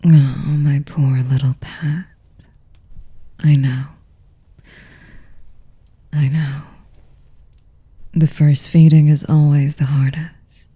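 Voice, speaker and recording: A woman playing a sadistic, seductive vampire mistress in an intimate roleplay, whispering in commanding, condescending, cooing endearments with a husky, breathy voice. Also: little pitch movement, drawn-out words, long pauses, dark tone, no room sound, full character voice. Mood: mock-soothing. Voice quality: breathy, husky whisper with creaky low tones.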